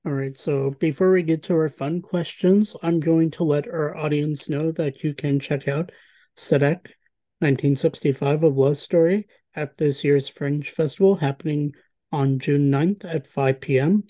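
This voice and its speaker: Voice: medium pitch, fairly even intonation, moderate pace, dark tone, highs cut off. A host asking a guest long, friendly questions and reading out event dates, with the odd filler. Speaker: interviewer, host. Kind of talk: interview questions and event announcement.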